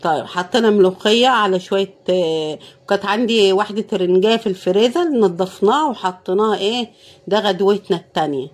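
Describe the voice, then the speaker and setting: A woman with an Egyptian Arabic accent talks through her cooking in a hoarse, worn-out voice. She sounds tired and speaks casually, often murmuring thanks to God. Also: mid-range voice, fast. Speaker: cooking vlogger.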